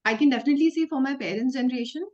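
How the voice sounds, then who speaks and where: A woman's voice: high voice, very fast, darkish tone, highs cut off. A young woman in her twenties, a student interviewer speaking politely and a little hesitantly on a video call.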